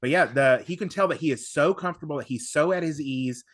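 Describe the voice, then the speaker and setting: A man's voice: a little high, no echo, clean studio sound. A man chatting casually as a podcast host, enthusiastic and often doubling back on his words. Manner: halting, repeating words, enthusiastic. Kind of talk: casual discussion of audio drama.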